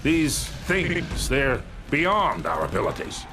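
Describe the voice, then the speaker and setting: A man's voice: mid-range voice, big pitch swings, slow, noisy background. A man playing a strained field officer protesting to his superiors in a video game, tense and urgent. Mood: desperate. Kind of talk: video game cutscene acting.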